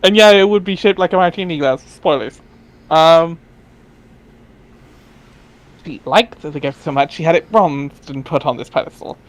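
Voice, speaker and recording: A man's voice: on the high side, normal speed, pauses often, muffled recording. A man reading narration aloud in a dry, wry way, dropping in casual asides. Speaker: reader in a group dramatic reading.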